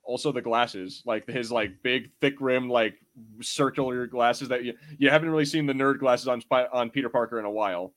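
A man's voice: mid-range voice, normal speed, fairly bright tone. Rambling, slangy man podcasting. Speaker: podcast co-host. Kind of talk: casual pop culture discussion.

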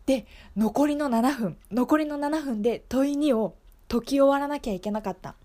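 High-voiced earnest young woman teacher , acted by a woman. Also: normal speed, dark tone, natural voice. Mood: desperate, animated. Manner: confiding, emphatic, repetitive storytelling. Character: earnest young female schoolteacher telling her exam story. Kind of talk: improvised radio drama monologue.

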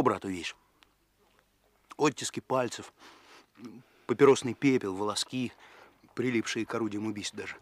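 An actor playing an earnest, kindly young police chief in a radio play, musing and explaining in a halting, thoughtful way. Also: male voice, medium pitch, slight background noise, close to an everyday voice. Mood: proudly explaining.

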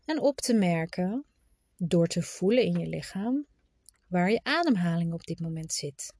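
A woman leading a guided meditation in a soft, soothing, instructive manner, at times trailing into a breathy whisper. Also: lowish voice, lively intonation, dry recording. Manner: informal, instructive, with unhurried pauses.

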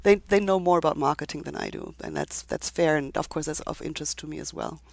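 A woman author being interviewed about her book, speaking in a thoughtful, measured way with frequent restarts. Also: sits low, creaky, no echo. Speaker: author and legal scholar, interview guest. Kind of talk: conversational interview.

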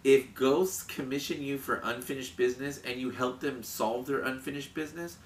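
A man's voice: medium pitch, steady tone, normal speed, over a noisy crowd. A man co-hosting a podcast, rambling in casual chat with lots of fillers and restarts. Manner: rambling, full of likes and restarts. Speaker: podcast co-host.

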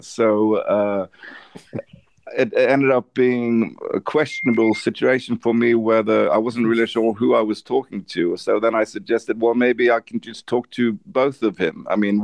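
A man's voice: mid-range voice, gravelly, no echo. Man, podcast host, rambling and hesitant, dry close recording. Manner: rambling, hesitant, lots of fillers. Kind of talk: casual conversation.

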